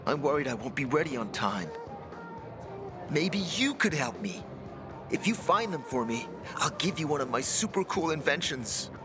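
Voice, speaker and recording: A young, eager but self-doubting apprentice inventor in a video game. The voice is fairly high and very animated, chatty and earnest. Music plays clearly behind it. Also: male voice, normal speed. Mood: earnest, hopeful. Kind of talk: video game cutscene acting.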